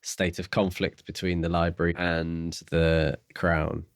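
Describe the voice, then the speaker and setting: Deep-voiced man in relaxed book chat. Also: unhurried, dry recording, clean studio sound. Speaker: book podcast co-host. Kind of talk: casual discussion of a novel.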